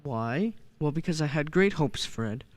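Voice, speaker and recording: A man plays an elderly, principled Santa figure in a radio drama. He sounds earnest and deliberate, in a fairly high male voice. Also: animated. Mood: disappointed, wistful. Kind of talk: radio play acting.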